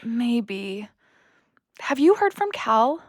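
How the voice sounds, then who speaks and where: A young adult daughter in an audio play, blunt, sardonic and easily exasperated. Her voice is high and very animated, and she takes her time over the words. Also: drawn-out words, dry recording, studio quality, full character voice. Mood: weary, guarded. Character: exasperated, world-weary young adult daughter back home. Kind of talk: naturalistic audio play acting.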